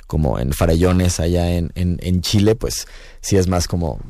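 A man's voice: low-pitched, normal speed. A man with a Mexican accent, a guest musician chatting casually in a radio booth, rambling with many fillers. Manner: relaxed, rambling, frequent fillers and "¿no?". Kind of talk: casual interview talk.

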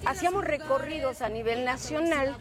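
A woman's voice: high voice, moderate pace. A woman from Oaxaca, Mexico, whose first language is Zapotec, answering interview questions earnestly and reflectively, with frequent restarts. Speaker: guest musician and traditional healer. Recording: music playing underneath.

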